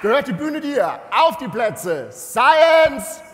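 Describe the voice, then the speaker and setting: A middle-aged man hosting a stage event, announcing the next speaker with hyped shouts. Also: extremely high pitch, big pitch swings, takes it slowly, long reverb tail, background noise. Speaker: event host. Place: indoor stage hall. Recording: loud music and cheering crowd.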